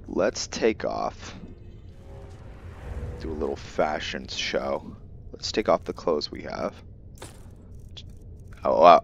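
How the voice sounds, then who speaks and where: Male gamer narrating a roleplay playthrough: unhurried, halting delivery, thinking out loud, a little dull-sounding recording with faint background noise. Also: rumbling low. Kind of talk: casual gameplay commentary.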